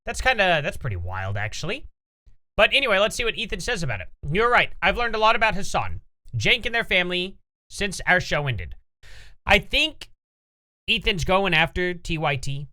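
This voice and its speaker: Guy ranting on his commentary channel, bright sharp voice, pitch jumping all over. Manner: opinionated, rambling, reads tweets aloud. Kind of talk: casual reaction commentary.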